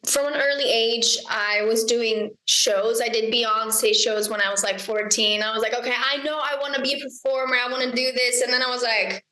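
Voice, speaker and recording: A woman's voice: on the high side, fairly even intonation, normal speed, few pauses, sharp tone, roomy sound, rough recording. A young Icelandic woman, a singer and songwriter, chatting as a guest in a casual interview, bubbly and giggly, often quoting herself.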